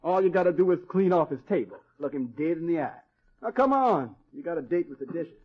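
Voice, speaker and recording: High, husky, bossy head waiter. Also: male voice, expressive, fairly quick. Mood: teasing, scolding. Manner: folksy, colloquial, needling. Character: bossy, teasing, good-hearted hotel head waiter. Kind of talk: old-time radio drama acting. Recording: muffled vintage radio recording.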